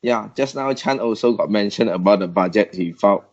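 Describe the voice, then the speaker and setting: A man's voice: on the low side, fairly quick, darkish tone. A man with a Malaysian accent chiming in during an informal work discussion on a video call. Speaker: meeting participant. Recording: dull video call audio.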